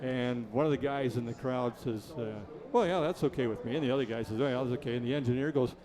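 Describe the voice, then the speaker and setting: A man's voice: medium pitch, fast, a crowd in the background. A man calling a baseball game, chatty and jokey, trading dad jokes with his booth partner. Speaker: play-by-play announcer. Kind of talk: baseball commentary.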